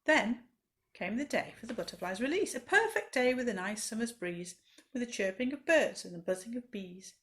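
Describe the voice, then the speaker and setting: A woman's voice: on the high side, lively intonation, normal speed, fairly bright tone. A woman reading a rhyming children's story expressively, with dramatic emphasis, as a library storyteller.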